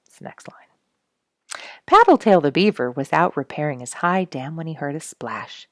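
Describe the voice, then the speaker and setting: Animated low-voiced woman reading a children's story. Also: normal speed, dry recording, clean studio sound. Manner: expressive, emphatic on sound words. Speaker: storybook reader and host. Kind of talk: children's storybook read-aloud.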